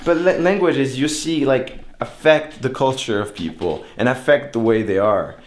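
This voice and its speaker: A man's voice: mid-range voice, normal speed. A young man, a native French speaker whose English has an American-influenced French accent, chatting casually in an informal, musing and self-deprecating way. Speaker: speaker giving an informal opinion talk. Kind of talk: casual chat.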